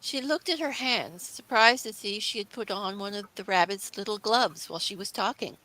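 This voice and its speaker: A woman's voice: medium pitch, normal speed, sharp tone, low-quality recording. A woman reading scripted children's story narration as the storybook's narrator.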